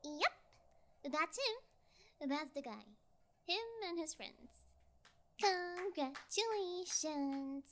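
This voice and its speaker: A woman playing a cocky, callous kidnapper in an audio roleplay, with a flippant, teasing, drawn-out delivery. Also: sits high, lively intonation, fairly bright tone, exaggerated voice. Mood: mocking, playful. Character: cocky, callous sociopathic female yangire kidnapper, flippant and taunting. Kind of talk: ASMR audio roleplay acting.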